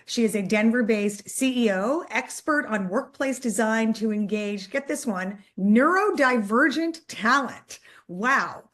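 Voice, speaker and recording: Upbeat woman host, kinda high voice, chatty w lots of ums, introducing guests. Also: expressive, a little slow, hardly any pauses, fairly bright tone. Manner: upbeat, chatty, many fillers. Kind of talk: online panel introductions.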